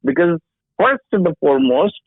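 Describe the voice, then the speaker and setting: A man in his sixties, a guest with a background in HR, explaining slowly and haltingly during a phone interview. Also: on the high side, very animated, dry recording. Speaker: HR industry guest. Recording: dry tinny phone line.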